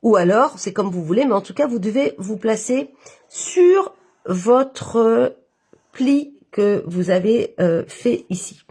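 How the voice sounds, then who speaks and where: A woman's voice: a little high, animated, slow, a little mellow, slightly rough. A woman with a head cold, talking nasally as she walks viewers through a papercraft project step by step, with many fillers. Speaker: papercraft instructor. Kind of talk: live-stream craft tutorial.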